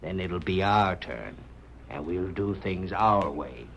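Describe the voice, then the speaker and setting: A cold plotting outlaw. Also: male voice, deep voice, expressive, takes it slowly, gravelly, a bit bright, a little hiss or hum, exaggerated voice. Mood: scheming. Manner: cold, matter-of-fact plotting. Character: calculating low-voiced male outlaw. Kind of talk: 1930s radio drama acting. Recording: dull 1930s radio recording.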